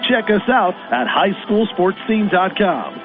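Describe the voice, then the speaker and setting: A man's voice: sits high, big pitch swings, measured pace. A man reading an upbeat radio ad, peppy and promotional. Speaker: radio ad announcer. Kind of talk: upbeat ad read. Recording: phone-like radio ad over music.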